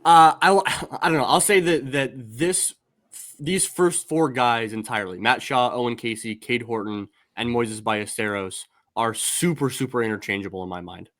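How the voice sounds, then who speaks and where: A man's voice: mid-range voice, normal speed, fairly bright tone, no room sound, clean studio sound. A man in his thirties hosting a casual baseball podcast over a video call, talking in rambling bursts with lots of restarts. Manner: rambling, many restarts and fillers. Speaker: podcast host. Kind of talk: casual baseball prospect discussion.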